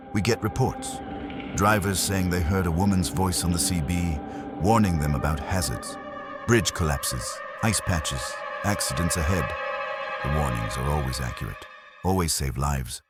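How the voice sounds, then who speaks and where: Somber patrol officer, acted by a male narrator, quiet, measured, extremely low voice, ghost-story delivery. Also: over loud music.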